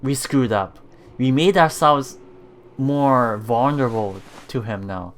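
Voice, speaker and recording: A streamer reading visual novel text aloud in a halting way, adding casual commentary over game music. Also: mid-range voice. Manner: halting reading, often repeating broken words. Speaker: let's player streamer.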